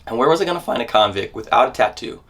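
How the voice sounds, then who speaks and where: A man's voice: medium pitch, animated, fast talker, fairly bright tone. A man reading a memoir as a dramatic monologue, telling the story in scripted first person with theatrical emphasis.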